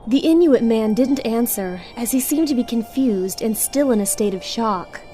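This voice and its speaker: A woman's voice: on the high side, lively intonation, moderate pace, mellow, soft background music. A woman reading scripted story narration for an audio drama.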